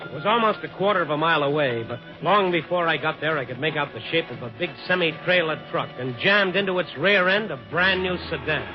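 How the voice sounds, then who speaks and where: A man's voice: on the high side, brisk, hardly any pauses, lightly acted. Guy acting wry american newspaper reporter, 1950s radio mystery, dull old recording w/ music under it. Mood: tense narration. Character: male newspaper night reporter, wry and hard-boiled. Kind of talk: 1950s radio drama acting and first-person narration.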